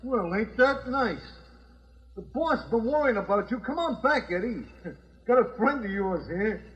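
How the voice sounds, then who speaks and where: A man playing a sneering, cold-blooded gangster henchman in an old radio crime drama, with a husky voice, slangy talk and an American accent. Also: extremely high pitch, animated, measured pace, dull audio. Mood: mocking menace. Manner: slangy, mocking, menacing. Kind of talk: 1940s-50s radio crime drama acting.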